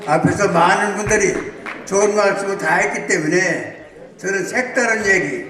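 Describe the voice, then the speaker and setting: Man in his 90s, solemn lecturing speech, high-pitched, echoey hall, distant mic. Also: normal speed, bright, forceful tone. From South Chungcheong, Korea. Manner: solemn, lecturing with rhetorical questions. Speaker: guest speaker. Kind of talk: formal congratulatory speech. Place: large banquet hall.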